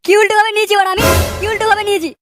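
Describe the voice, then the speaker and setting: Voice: extremely high pitch, steady tone, rapid-fire, a bit bright, faint music behind. A performer voicing a hot-headed, lazy troublemaker in a Bengali comedy cartoon, crude and blustering. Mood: quarrelsome.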